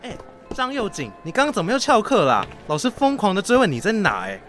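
A man's voice: high-pitched, wildly swooping pitch, fast, over music. A man playing a chatty, nagging teenage schoolboy classmate in a radio drama, breezy and pestering, with a Taiwanese accent. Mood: pestering, surprised. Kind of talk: Mandarin boys' love radio drama acting.

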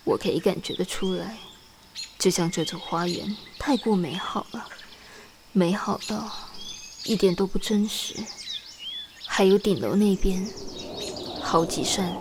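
A woman's voice: medium pitch, measured pace, pauses often, noisy background, natural voice. Anxious young wife in a radio drama, acted by a woman. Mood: uneasy, suspicious. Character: anxious, melancholy young 19th-century wife, stifled writer. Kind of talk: Mandarin radio drama acting.

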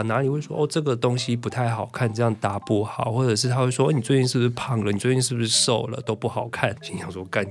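A man with a Taiwanese Mandarin accent speaking as an interview guest, explaining casually and checking in with rhetorical questions. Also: medium pitch.

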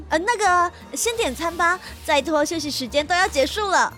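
A woman's voice: very high voice, normal speed, fairly bright tone, faint music behind. A woman voicing a flustered young female office worker on probation, who stammers and panics easily. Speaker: voice actor in an audio drama. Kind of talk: modern audio drama acting.

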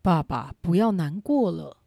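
Earnest young boy for a storybook drama, played by a woman, low and mellow. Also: expressive, unhurried, vocal fry, dry recording, clean studio sound, heavily acted.

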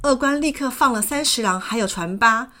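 A woman narrating a children's story in a gentle, expressive manner. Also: a little high, brisk. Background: background music.

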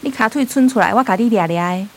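An actress playing an eager, sweet young daughter and little sister in a radio drama, with a Taiwanese accent. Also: female voice, mid-range voice, fast talker, slight background noise, natural voice. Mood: helpful.

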